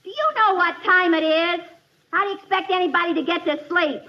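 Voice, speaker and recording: A dull vintage recording carries a very high, curt voice. It is a grumpy, blunt neighbor woman from a 1950s radio comedy, full of complaint. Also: fairly quick. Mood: annoyed. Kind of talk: 1950s radio sitcom acting.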